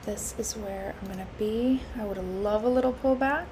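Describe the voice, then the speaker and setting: A woman live-streaming her futures trading, congested and hoarse from allergies, thinking aloud with lots of fillers and restarts. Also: medium pitch, normal speed, dark tone, slight background noise. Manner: musing, with frequent fillers and restarts.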